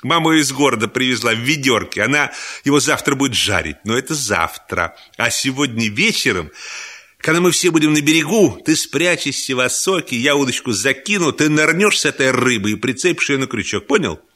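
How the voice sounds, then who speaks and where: A man's voice: mid-range voice, expressive, brisk, bright, forceful tone. A man voicing a boastful, scheming schoolboy in a humorous radio story, eager and self-important. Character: boastful scheming schoolboy, cocky young angler.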